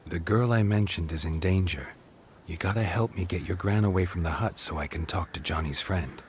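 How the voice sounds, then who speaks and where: Low-voiced, terse fantasy hunter, played by a man. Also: moderate pace, a little hiss or hum, lightly acted. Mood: patient, probing. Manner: clipped, understated, dry. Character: terse, weary, steadfast monster hunter searching for his daughter. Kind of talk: video game character acting.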